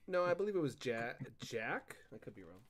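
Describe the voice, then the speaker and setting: A man's voice: medium pitch, sing-song, fairly quick, crowd noise. A man chatting casually about games as a co-host on a live show. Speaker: co-host of a gaming radio show. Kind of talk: casual gaming banter.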